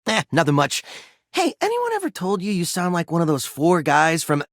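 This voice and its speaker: A goofy video game developer. His delivery is playful, casual and slangy, with extremely varied pitch in a close, dry recording. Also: huge pitch swings, moderate pace, dry recording. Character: goofy, good-natured male voice actor and game developer. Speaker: actor and game creator character.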